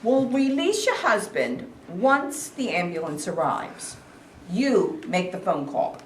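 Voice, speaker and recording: A woman's voice: mid-range voice, big pitch swings, slow, far from the mic. A woman playing an exasperated, by-the-book police officer in a staged play reading, blunt and irritated. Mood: businesslike. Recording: echoey room from afar, clear noise.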